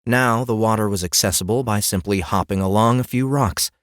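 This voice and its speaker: A man with a fairly low voice narrates a scripted fantasy story. The sound is very dry and close, as in an audiobook. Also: fairly quick, no echo.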